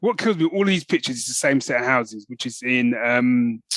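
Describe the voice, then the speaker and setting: A man's voice: mid-range voice, lively intonation, normal speed, a bit bright, no echo. A middle-aged man, co-hosting a podcast in casual, matey, jokey banter. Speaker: podcast co-host.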